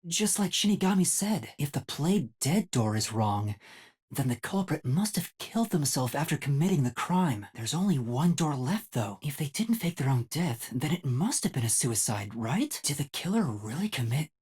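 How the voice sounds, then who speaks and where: A man's voice: fairly high pitch, big pitch swings, normal speed, a little mellow, breathy edge. A man voicing a timid, earnest young detective in an English video game, light and boyish, hesitantly thinking aloud. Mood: pensive, unsure.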